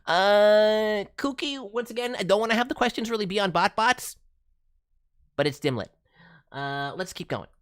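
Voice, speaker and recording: A man's voice: high-pitched, lively intonation, moderate pace, fairly bright tone, smooth. A man voicing an eager, encouraging cartoon raccoon mascot who hosts with cutesy, playful wording in casual livestream commentary. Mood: impressed, encouraging. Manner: cutesy playful word forms. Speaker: VTuber host reviewing voice demo reels.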